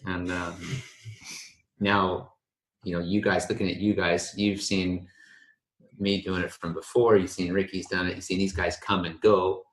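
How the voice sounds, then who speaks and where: Man, podcast host, rambling, laid-back slang, very low voice, roomy echo. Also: little pitch movement, measured pace. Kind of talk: casual interview chat.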